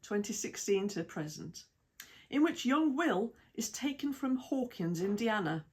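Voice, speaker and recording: A woman with a British accent reading an article aloud in a measured, even way, with lists of titles and dates. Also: mid-range voice, slightly rough. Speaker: reader of an article. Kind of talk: read-aloud essay narration.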